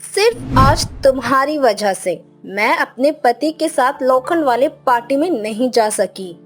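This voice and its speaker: A woman's voice: high voice, expressive, unhurried, soft background music. A woman voicing Kirti, a friendly and gossipy young wife, in a cartoon story. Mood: chatty. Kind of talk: cartoon voice acting.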